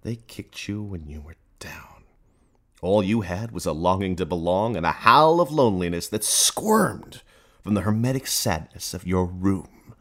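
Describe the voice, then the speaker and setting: A man performing a radio play as a merciless, taunting inner narrator, speaking in literary second person with theatrical, accusing emphasis and a husky voice. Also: low voice, expressive, measured pace. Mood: bitter, mocking.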